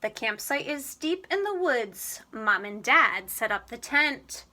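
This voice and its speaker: A woman reading a children's storybook aloud in an expressive, deliberate storytelling manner. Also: fairly high pitch. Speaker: storybook reader.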